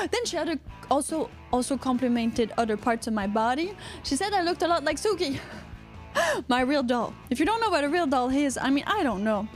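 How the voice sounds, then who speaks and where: A woman's voice: sits high, sing-song, normal speed, faint music behind. A woman from Quebec with a Quebecois accent, co-hosting a casual live podcast, rambling and joking as she reads out chat comments. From Quebec, Canada. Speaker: podcast co-host.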